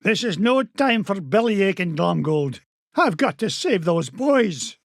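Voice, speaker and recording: A man voicing an old, gruff Scottish duck tycoon who is blustery and protective of his nephews. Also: sits high, sing-song, unhurried, dry recording, full character voice. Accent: put-on Scottish. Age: older. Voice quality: brusque, blustery. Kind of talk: video game cutscene acting.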